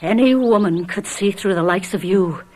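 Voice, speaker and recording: Woman playing a desperate defiant wife, mid-pitched voice, insistent, accusing, urgent, old radio drama. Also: normal speed, a little mellow, natural voice.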